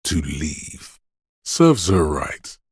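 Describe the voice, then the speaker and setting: An actor playing a menacing, paranoid inner voice of fear and aggression in a game, narrating slowly and ominously in a husky, gravelly voice. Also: male voice, extremely low pitch, big pitch swings, dry recording, studio quality, heavily acted. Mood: cold contempt. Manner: ominous, slow, deliberate delivery. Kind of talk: dramatic second-person game narration.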